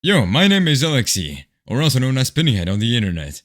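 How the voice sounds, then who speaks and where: Young male voice actor, upbeat casual self-introduction, bright and very lively. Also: mid-range voice, quick pace. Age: young adult. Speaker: amateur voice actor.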